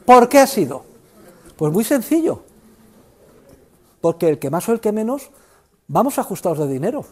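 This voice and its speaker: An older man lecturing economics to a university class, theatrical and emphatic, swinging between loud outbursts and low asides. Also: very animated, moderate pace. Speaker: economics professor.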